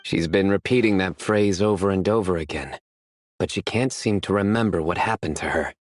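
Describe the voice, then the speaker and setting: A man playing a stern, reserved young lawman in a video game, measured and deadpan. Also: sits low, natural voice. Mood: stoic, grave.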